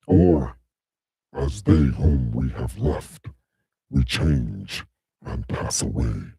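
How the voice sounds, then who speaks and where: A man's voice: animated, mellow, dry recording, studio quality, full character voice. A man voicing Demogorgon, a vast primal power, in a solemn dramatic verse reading. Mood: grave. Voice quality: deep, husky, slow and solemn.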